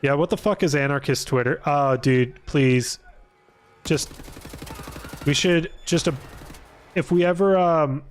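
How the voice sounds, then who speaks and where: A man's voice: on the high side, a little slow, long pauses. A man chatting casually on a livestream, rambling informally and hesitantly, often trailing off mid-thought. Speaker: live streamer. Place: apartment room.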